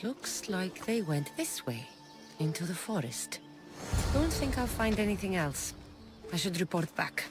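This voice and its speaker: An actress playing a confident, dryly challenging young ancient Greek mercenary in a video game. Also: female voice, fairly low pitch, very animated, measured pace, over music. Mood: pensive. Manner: cool, dry, challenging.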